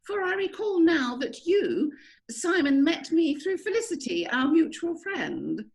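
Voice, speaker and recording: A woman playing a passionate aristocratic widow in an amateur play reading, with theatrical, drawn-out delivery. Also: sits high, sing-song, exaggerated voice, rough recording. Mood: brittle hostess. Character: melodramatic aristocratic lady of the manor, passionate widow.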